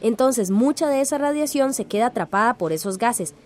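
Radio drama role: earnest village woman, acted, slightly high voice, explanatory. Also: lively intonation, brisk, a little mellow, close to an everyday voice. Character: earnest, well-informed village woman at a workshop.

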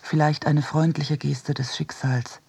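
A man reading a scripted literary essay for radio in a measured, deliberate manner. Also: a little high, little pitch movement, creaky, darkish tone, slightly rough. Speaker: reader in a radio production.

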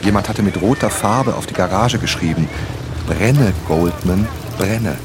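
Earnest writer-narrator for radio drama, deep register, sober and measured. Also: male voice, background noise. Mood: sober, tense. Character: earnest young male novelist narrating his story. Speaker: first-person narrator.

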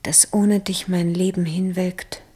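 A lovelorn woman pines for her absent beloved in a radio play. Her low voice is very breathy, often sinking into a whisper, and she speaks slowly. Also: flat delivery, dark tone. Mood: aching longing. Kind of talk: literary radio play monologue.

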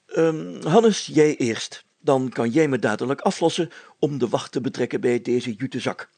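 A man's voice: mid-range voice, normal speed, a little mellow, husky, no room sound, voice pushed up. A man voicing a pompous, flustered burgomaster in a story, with blustering, old-fashioned formal speech. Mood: jovial, commanding. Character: pompous, flustered male burgomaster and police chief.

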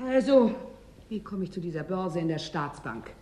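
A woman's voice: fairly low pitch, lively intonation, moderate pace, darkish tone, lightly acted. Blunt, insistent woman newcomer, played by an actress. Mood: impatient, blunt. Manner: direct, questioning, impatient. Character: blunt, insistent female newcomer to an icebound settlement. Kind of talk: German radio play acting.

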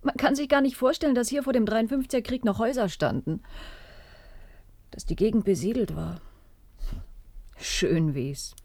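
A woman's voice: fairly high pitch, normal speed, pauses often, a little mellow, dry recording. A woman playing a sceptical, bitter and uneasy adult daughter in a radio play, with a brooding, drily sardonic manner.

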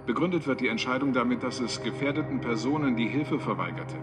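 Small role: male news announcer played by an actor, formal, brisk, mid-range pitch, clear music bed. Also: natural voice. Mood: formal, urgent. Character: formal, impersonal male radio newsreader. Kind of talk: news bulletin within radio drama. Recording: news bulletin with music underneath.

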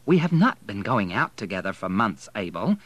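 Male reader as haughty young Southern lady, raised pitch, swooping intonation, prim, dismissive, storybook dialogue. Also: very animated, brisk, no room sound, lo-fi sound, natural voice. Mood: firm, indignant. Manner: prim, condescending.

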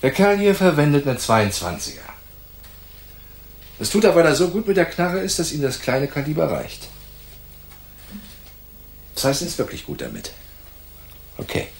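An actor playing a hard, suspicious veteran homicide inspector in a radio play, gruff and probing, with a gravelly voice. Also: male voice, medium pitch, darkish tone. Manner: gruff, probing, tough-talking. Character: hard, cruel, suspicious male homicide police inspector, old hand.

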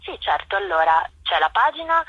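A woman's voice: mid-range voice, sing-song, normal speed, a bit bright, slightly rough, no room sound, highs cut off. A woman theatre director answering interview questions thoughtfully, often restarting her sentences.

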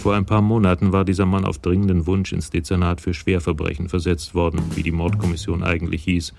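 A man narrating a German radio crime drama from a script in a measured, wry, descriptive way. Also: sits low, level tone, gravelly, music in the background.

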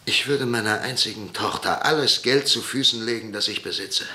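Radio drama: stern, offended older patriarch, declamatory and indignant, sharp-edged voice, roomy acoustic. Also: male voice, on the low side, moderate pace. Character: wounded, stern older professor, patriarch of the family. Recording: echoey room.

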